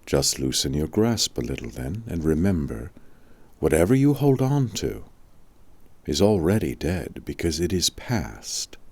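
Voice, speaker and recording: Wise old male wizard read by a man, very low dry voice, patient and philosophical, storybook mentor. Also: darkish tone, no echo, heavily acted. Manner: measured, philosophical teaching. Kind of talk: audiobook storytelling.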